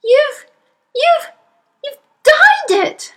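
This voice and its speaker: Lady doing a bossy storybook mum, shrill n scornful, really high, voice swoops everywhere. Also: sing-song, normal speed, fairly bright tone, heavily acted. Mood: horrified, shrieking. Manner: shrill, scolding. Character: shrieking scornful mother, middle-aged, bossy and exasperated. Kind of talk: acted children's audiobook.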